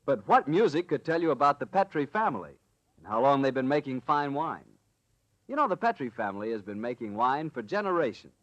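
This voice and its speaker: A man's voice: medium pitch, fairly bright tone, dry recording. A man with an American accent hosting and announcing in a polished, emphatic 1940s radio sponsor style.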